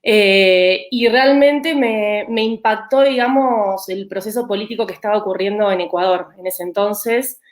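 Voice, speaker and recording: An Argentine woman with a rioplatense accent speaks as a guest academic, at a middle pitch. She answers thoughtfully, restarting phrases and filling pauses with "eh". The room around her adds a noticeable echo. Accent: Argentine Spanish (rioplatense). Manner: thoughtful, with hesitant restarts and "eh" fillers. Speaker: political scientist guest. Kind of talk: interview answers.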